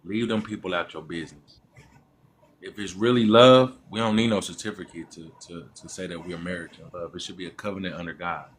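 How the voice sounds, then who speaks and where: A man's voice: deep voice, fairly even intonation, fairly quick, slight background noise. A man calling into a live stream, giving his opinion in an emphatic, repetitive, preachy way. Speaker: caller. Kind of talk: opinionated call-in talk.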